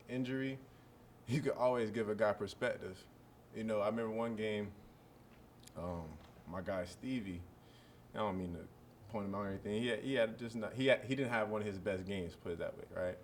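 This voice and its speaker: A man in his early twenties, a college football player, answering press questions in a relaxed, rambling way full of fillers. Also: fairly low pitch, moderate pace, slight background noise. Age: early 20s.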